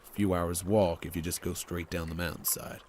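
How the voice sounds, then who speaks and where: A man's voice: deep voice, level tone, a little fast, a little mellow, a little hiss or hum, lightly acted. A man acting a reluctant, caring leader of an underground shelter in an audio drama, hesitant and subdued. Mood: reluctant, practical.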